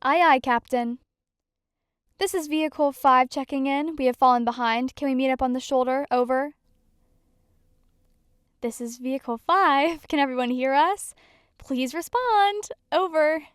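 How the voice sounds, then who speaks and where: A woman's voice: sits high, measured pace, long pauses, dry recording, clean studio sound. A woman playing an upbeat, easygoing young driver in a student audio drama, breezy and casual. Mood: practical.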